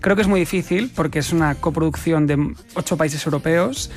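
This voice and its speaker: A man with a Spanish accent from Spain, chatting in an expressive, colloquial way as an interview guest. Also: fairly high pitch, moderate pace, faint music behind. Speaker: guest actor being interviewed. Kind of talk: casual interview chat.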